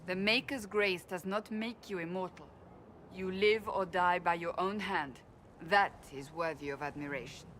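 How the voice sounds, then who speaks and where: An actress playing a devout, stern woman warrior in a video game, speaking formally and earnestly in measured phrases. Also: lowish voice, a bit bright, a little hiss or hum, close to an everyday voice. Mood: admiring, solemn. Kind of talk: dramatic video game dialogue.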